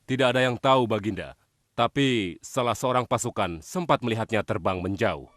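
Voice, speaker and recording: A man's voice: fairly low pitch, moderate pace, natural voice. A man playing a dutiful, anxious palace guard in a children's cartoon, speaking formally and deferentially. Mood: worried. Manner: deferential, apologetic, formal servant talk. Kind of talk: Indonesian children's religious cartoon acting. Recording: very loud music and percussion behind.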